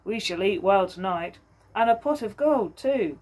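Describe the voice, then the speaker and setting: A woman's voice: mid-range voice, expressive, a little slow, a little mellow. A woman doing Anna, a chatty shrewd wife. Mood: cheerful. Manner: rattling, talkative.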